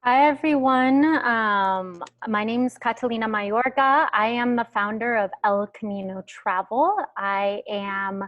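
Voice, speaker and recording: A woman's voice: a little high, unhurried, few pauses, dry recording, highs cut off. A woman in her late thirties from the Pacific Northwest, telling a story on a video call with many ums. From United States, Pacific Northwest. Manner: thoughtful storytelling with many fillers. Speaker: guest speaker, travel company founder. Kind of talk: webinar talk.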